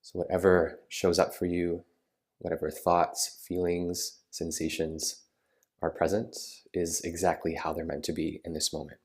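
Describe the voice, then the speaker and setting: A man with a low-pitched voice leads a guided relaxation practice. His intonation stays very level and steady. He phrases things soothingly and without hurry, leaving pauses between instructions. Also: husky. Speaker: yoga nidra meditation guide. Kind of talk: guided meditation.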